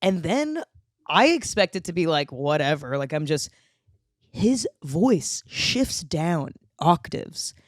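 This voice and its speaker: A woman with a low voice hosting a podcast, telling crude comic stories in casual chat. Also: slow, no echo, studio quality. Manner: crude, animated storyteller. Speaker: podcast host.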